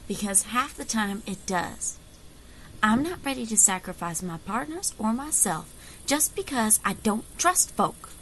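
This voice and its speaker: A woman playing a confident, folksy frontier leader in an audio drama, wry and commanding, with calm menace. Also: mid-range voice, unhurried, slight background noise, low-quality recording. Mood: firm, unflustered.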